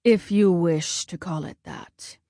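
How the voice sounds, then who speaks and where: A woman voicing a commanding, cold male war god and father, speaking in a formal, measured way. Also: medium pitch, mellow, dry recording, clean studio sound. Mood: grave, measured.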